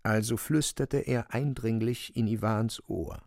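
An actor narrating a literary radio drama as a grave storyteller, measured and dramatic. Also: male voice, fairly low pitch, fairly even intonation, gravelly, darkish tone, no echo, studio quality. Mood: grave, suspenseful.

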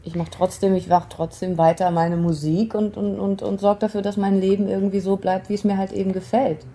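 A woman playing an easygoing young musician in a naturalistic radio drama, talking casually and haltingly, often repeating herself. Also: mid-range voice, little pitch movement, dark tone, natural voice. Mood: defensive, casual. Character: easygoing young female musician proudly demonstrating her new song.

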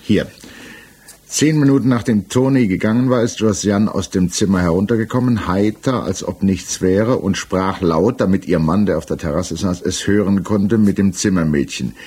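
A man acting a composed, persistent examining magistrate in a 1960s German radio crime drama, questioning in a measured, official way. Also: sits low, darkish tone, slightly rough. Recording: 1960s mono radio production.

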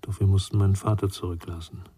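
Low, husky older man, reflective. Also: level tone, quick pace, dark tone, no room sound. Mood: reflective, gentle. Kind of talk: German radio crime drama acting.

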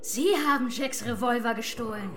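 A woman's voice: fairly high pitch, normal speed, fairly bright tone, slight background noise. An actress playing a nosy, forthright small-town woman in a crime radio play, direct in speech. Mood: accusing. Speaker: actor in crime radio drama. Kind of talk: radio drama dialogue.

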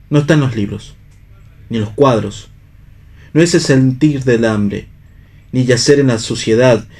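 A man's voice: medium pitch, a little mellow, close to an everyday voice. A man acting a disillusioned, ironic husband in a radio play, speaking in a literary, argumentative way. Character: cynical, pipe-smoking husband, disillusioned and philosophical unbeliever. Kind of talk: staged radio play reading.

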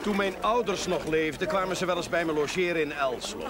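Dry, slightly high-voiced village gentleman acted. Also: fairly quick, a crowd in the background, lightly acted. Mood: wry. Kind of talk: television drama acting.

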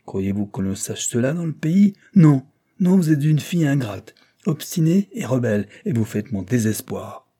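A man voicing an irate, stubborn older judge who shouts and hectors. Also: lowish voice, sing-song, normal speed. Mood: indignant.

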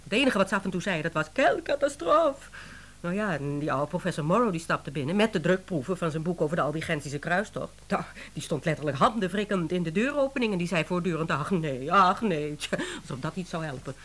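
A woman's voice: on the low side, animated, quick pace, a bit bright. A woman acting a capable, diplomatic publishing manager in a Dutch radio play. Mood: flustered.

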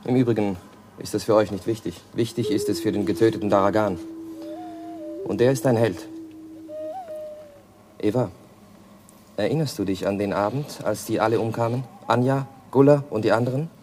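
Radio drama: actor as a stern organizer husband, lowish voice, faint hiss. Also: male voice, moderate pace, long pauses, close to an everyday voice. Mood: scornful. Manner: hard, dismissive, lecturing. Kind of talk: German radio play acting.

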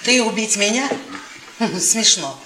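An actress playing a brash, mocking middle-aged professor's wife in a radio play, telling things in an earthy, animated way. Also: female voice, mid-range voice, slow pace. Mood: taunting. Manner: earthy, laughing, animated storytelling. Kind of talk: theatrical radio play acting. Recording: roomy stage sound with audience noise.

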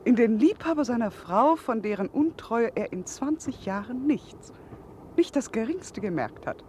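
An actress playing a candid, gravely ironic female confidante, speaking pointedly and dramatically in a 1960s radio play. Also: on the high side, expressive, moderate pace, a little mellow, lightly acted. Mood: pointed irony. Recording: noisy vintage 1960 radio recording.

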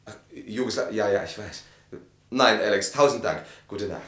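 An actor in a German radio drama playing a harried, evasive husband, hesitant and flustered. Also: male voice, on the low side, moderate pace, a bit bright, natural voice. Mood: flustered, polite. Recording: roomy studio radio play sound.

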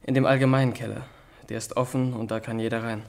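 A timid young student played by a male actor in a radio drama. His mid-pitched voice is meek and earnest, and he speaks haltingly, full of contrition. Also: level tone, vocal fry, lightly acted. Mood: pleading. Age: young adult. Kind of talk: German radio drama acting.

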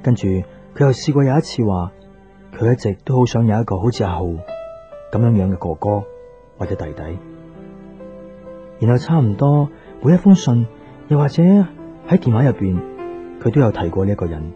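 A brooding, jealous young man acted by a man in a radio drama, with a very dark, mellow voice and a Hong Kong Cantonese accent. It is a dull, lo-fi recording with music behind. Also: fairly low pitch, lively intonation, moderate pace, pauses often, natural voice. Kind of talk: Cantonese radio drama, inner narration and dialogue.

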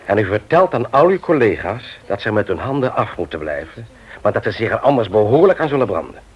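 A man's voice: lowish voice, sing-song, a little fast, slightly rough. An actor playing a stern, moralizing man who lectures emphatically in a radio play. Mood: lecturing, firm. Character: stern, moralizing man confronting a petty thief. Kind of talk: Dutch radio play acting. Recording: muffled vintage radio recording.

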